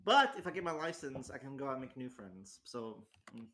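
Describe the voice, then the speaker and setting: A man's voice: mid-range voice, very animated, fairly quick, sharp tone, slight background noise. A man in his twenties talking in a rambling, self-deprecating casual chat at home, with lots of restarts and fillers. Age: 20s. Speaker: host. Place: home in a rural area.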